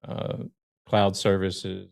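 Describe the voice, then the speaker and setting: Gravelly deep-voiced man from the US. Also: slow. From United States. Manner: relaxed, hesitant with frequent ums. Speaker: guest streamer and truck driver. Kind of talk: casual chat.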